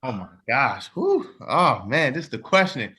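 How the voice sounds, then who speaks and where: A man's voice: mid-range voice, very animated, a little slow, sharp tone. A man in his twenties chatting casually in an interview, often repeating phrases for emphasis. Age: 20s. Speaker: musician and songwriter interviewed as a cast member.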